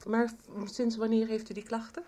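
An actress playing a matter-of-fact doctor questioning a patient in a 1990s Dutch radio soap. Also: female voice, a little high, flat delivery, a little fast, mellow, natural voice. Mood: clinical.

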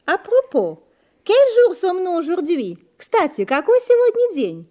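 A woman hosting a scripted bilingual radio language lesson as guide and interpreter, formal and deliberate, repeating phrases. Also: very high voice, huge pitch swings, mellow.

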